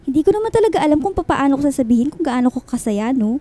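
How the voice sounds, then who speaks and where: A woman's voice: sits high, very animated, very fast, warm tone. A woman with a Filipino accent playing a cheerful, teasing young woman chatting with her best friend in a radio drama. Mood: happy, affectionate. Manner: playful, casual.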